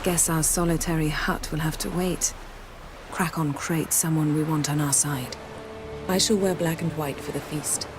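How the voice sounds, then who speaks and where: An actress playing a cool, self-assured sorceress in a video game, speaking in a measured, commanding way. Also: female voice, deep voice, fairly even intonation, noisy background, close to an everyday voice. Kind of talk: video game cutscene acting. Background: music.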